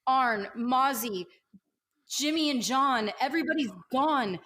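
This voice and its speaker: A woman playing a shell-shocked woman who has lost everything, halting and stammering in a tabletop game. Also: high voice, sharp tone, slight background noise, voice pushed up. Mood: distraught, grieving.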